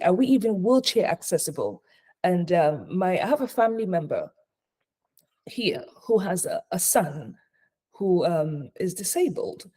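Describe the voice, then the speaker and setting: A woman's voice: medium pitch, dark tone. A middle-aged woman who grew up in Ghana, a hospitality consultant talking earnestly in a live online session and repeating points for emphasis. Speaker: hospitality consultant speaking as a guest.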